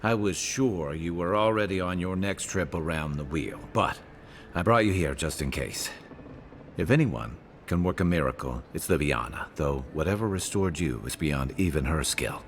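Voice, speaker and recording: An actor voicing a dry-witted, loyal companion and guide in a video game, wry and matter-of-fact. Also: male voice, sits low, animated, normal speed, a little hiss or hum. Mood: wry, relieved. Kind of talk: video game character dialogue.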